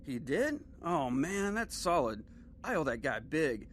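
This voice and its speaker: A rattled private eye in a video game, his voice on the high side. He talks in excitable street slang over faint background noise. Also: measured pace. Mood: relieved, grateful. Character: panicky, slangy captive male private investigator.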